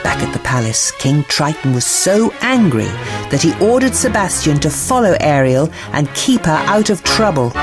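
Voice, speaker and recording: A woman narrating a scripted children's bedtime story in an expressive, theatrical storytelling manner. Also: sits low, slightly rough, no room sound.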